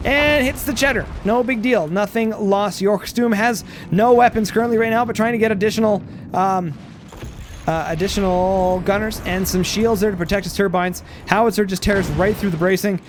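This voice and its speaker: A man giving rambling play-by-play commentary on a strategy game, hoarse and losing his voice, full of fillers and restarts. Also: high-pitched, fairly even intonation, moderate pace, background noise.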